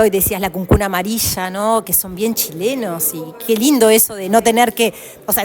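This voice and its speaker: A woman with a Uruguayan accent leads a relaxed interview in a mid-range voice. She keeps affirming her guest and closes many phrases with little tag questions. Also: medium pitch. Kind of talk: casual conversational interview.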